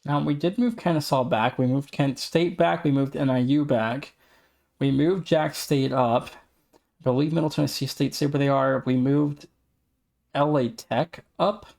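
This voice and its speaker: A man's voice: medium pitch, steady tone, unhurried, gravelly, darkish tone. A man co-hosting a sports podcast, casually running through standings and team updates. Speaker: podcast co-host.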